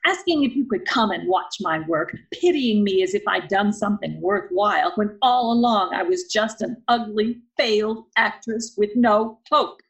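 A prickly older single woman, played by an actress, whose voice rises and falls sharply. She is blunt, clipped and quick to accuse, with self-doubt under the indignation, in romantic comedy audio play style. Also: mid-range voice, expressive, lightly acted, poor audio. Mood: wounded, indignant.

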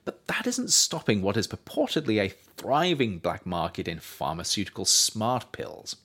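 Low-voiced man narrating with lively, swooping intonation. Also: normal speed, slightly rough. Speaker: author reading own book. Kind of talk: scripted nonfiction narration, audiobook-like podcast.

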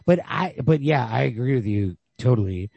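Male podcast co-host, husky and creaky, casual book talk, feels his way through each point. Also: medium pitch, lively intonation, normal speed, warm tone, no echo, muffled recording. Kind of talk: casual literary discussion.

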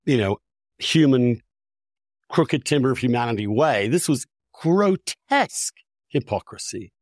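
A man's voice: mid-range voice, expressive, a little slow, darkish tone, no room sound, studio quality. A man talking candidly and with strong opinions, articulate and emphatic, often restarting his phrases. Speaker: podcast host.

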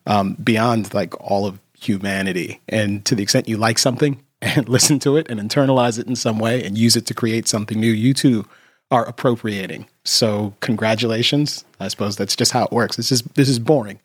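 A man hosting a podcast, explaining his opinions in a measured way. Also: on the low side, steady tone, breathy edge, no room sound, studio quality. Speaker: podcast host. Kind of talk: casual chat.

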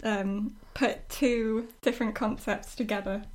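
A woman talking casually and reflectively as a writer discussing her work, often halting as she thinks aloud. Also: mid-range voice, steady tone, a little mellow. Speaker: writer discussing her own storytelling project.

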